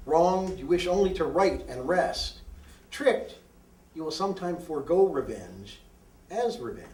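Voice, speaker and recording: A man with a slightly high voice recites poetry in a roomy, echoing space. His delivery is declamatory and deadpan, with deliberate, emphatic phrasing. He sounds some way off from the microphone. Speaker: poet reading own work. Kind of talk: live poetry reading, declamatory. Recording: roomy echo, distant microphone.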